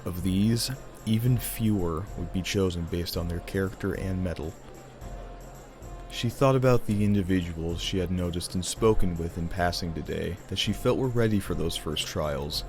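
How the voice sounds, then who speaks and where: A man narrating a scripted fantasy audio drama as a theatrical, deliberate storyteller. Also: sits low, darkish tone, faint music behind.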